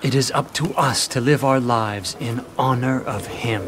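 An actor playing a noble, earnest samurai strategist with a declamatory manner in a video game. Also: male voice, mid-range voice, unhurried, noisy background, lightly acted. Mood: resolute. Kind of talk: dramatic video game acting. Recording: music under the voice.

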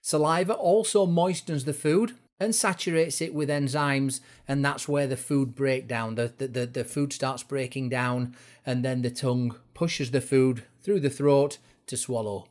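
A man's voice: mid-range voice, steady tone, unhurried, a little mellow. A man narrating an educational explainer as an instructor, going through it step by step in informal words. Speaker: fitness instructor presenting a course.

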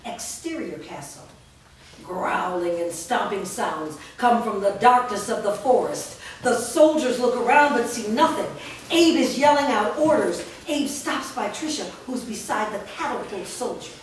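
A woman's voice: fairly high pitch, unhurried, slight background noise. A woman narrating stage directions steadily at a staged script reading. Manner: steady, descriptive. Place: large studio room. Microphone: far camera mic. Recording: echoey room from far off.

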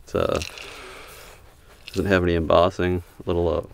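A man calmly narrating a bottle dig outdoors, reading out each find in a matter-of-fact way. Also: low voice, normal speed, gravelly, far from the mic, a little hiss or hum. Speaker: bottle digger. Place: outdoors at an excavation pit.

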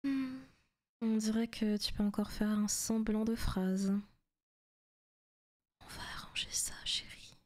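Possessive female demon played by a woman, seductive ASMR roleplay: soft, intimate, teasing, frequent whispering. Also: mid-range voice, steady tone, slow, pauses often, close to an everyday voice. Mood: teasing, hungry.

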